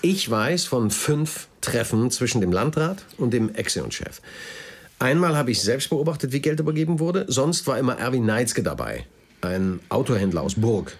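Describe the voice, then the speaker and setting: An agitated male witness in a naturalistic radio crime drama. His voice is husky and very animated in pitch. He sounds urgent and insistent, stumbling now and then. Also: moderate pace. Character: agitated male witness recounting a corruption case.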